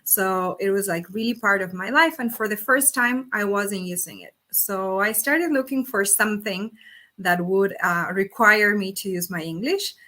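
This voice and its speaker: A woman's voice: mid-range voice, moderate pace, bright, forceful tone. A woman from Argentina whose first language is Spanish, a company executive answering interview questions over a video call, earnest, with many fillers and restarts. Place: Brazil.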